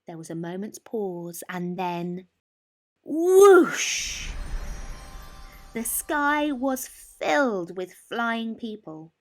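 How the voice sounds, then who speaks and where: A woman storyteller reading a children's picture book expressively, with deliberate phrasing, drawn-out emphasis and dramatic pauses. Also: mid-range voice, big pitch swings, a little mellow. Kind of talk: expressive bedtime story narration.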